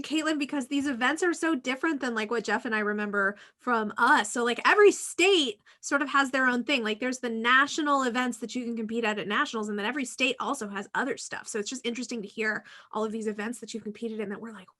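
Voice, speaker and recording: Very high, bright-voiced American woman. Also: quick pace. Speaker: podcast co-host. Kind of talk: casual chat.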